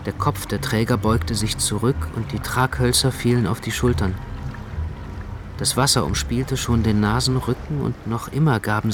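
Guy narrating a literary radio drama, lowish voice, measured and precise, music clearly playing behind.

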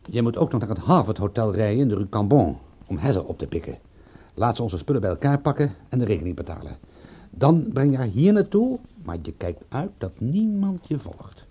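A man playing a cynical, excitable private detective in a radio drama, speaking in a wry, emphatic, husky voice. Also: medium pitch, brisk. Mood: brisk, instructing. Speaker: detective and narrator. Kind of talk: radio drama acting and narration. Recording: dull, muffled old radio recording.

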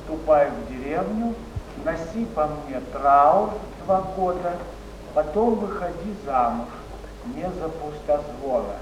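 An actor playing a sober chronicler reporting a death as theatrical documentary recitation. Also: male voice, on the high side, expressive, slow pace. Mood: grave, factual. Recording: distant, echoey, noisy stage recording.

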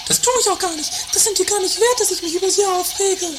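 Very high-pitched, quarrelsome dramatic sister played by a woman. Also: fast talker, heavy background noise. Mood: grudging. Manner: theatrical, bickering. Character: quarrelsome, dramatic woman, one of two castle-dwelling sisters. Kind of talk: amateur comedy radio play acting.

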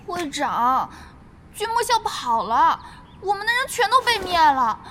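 An actress in a Chinese audio drama playing a brash young woman who leads a gaming squad, demanding and excitable. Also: extremely high pitch, a little slow, a little hiss or hum, heavily acted. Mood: aggrieved.

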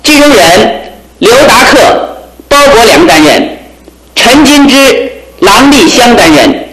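A man reading out closing credits for a radio drama in a slow, formal announcing style. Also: very high-pitched, very animated, roomy sound, over a noisy crowd, rough recording. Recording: old radio broadcast recording.

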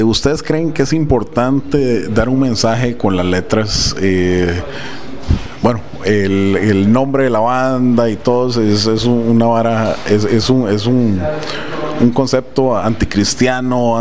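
A man's voice: medium pitch, fairly even intonation, unhurried, darkish tone. A man hosting an interview, asking rambling questions with stumbles and fillers. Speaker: radio show host, interviewer. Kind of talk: casual interview. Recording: noisy crowd in the background.

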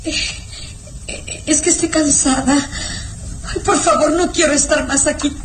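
A woman's voice: high-pitched, steady tone, measured pace, noisy background. An actress playing a frightened young woman in a radio drama, pleading and tearful. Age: young adult. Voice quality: pleading, tearful, rising to screams. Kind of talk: 1960s radio drama acting. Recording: radio drama with music bed.

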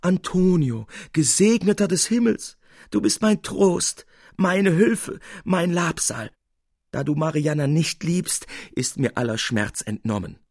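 A man's voice: a little high, lively intonation, unhurried, darkish tone, breathy edge, dry recording, clean studio sound, voice pushed up, heavily acted. A man voicing a pitiful, self-pitying old man in an audiobook story, groaning and effusive in his speech. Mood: jubilant relief.

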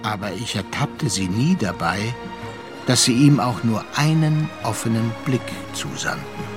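A man narrating a literary radio drama with measured, deliberate phrasing and pauses. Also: lowish voice, lively intonation, over music.

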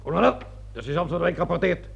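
A blunt young army sergeant in a dull-sounding old radio play. His voice is heavily creaky and husky, a little high for a man. He talks plainly and stubbornly, in clipped soldierly phrases. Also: animated, fast, heavily acted. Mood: brisk, dutiful.

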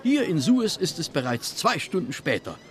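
An actor in a German radio drama playing a zealous detective, insistent and theatrical, swinging from sly to indignant. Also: male voice, a little high, sing-song, moderate pace, a little hiss or hum. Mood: friendly, probing. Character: zealous, excitable male detective hunting a thief. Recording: roomy studio echo.

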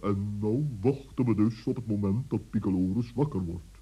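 A man's voice: on the low side, moderate pace, dark tone, breathy edge. A man playing a self-important, earnest talking bird in a children's radio play, solemn and fussy. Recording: muffled old radio play recording.

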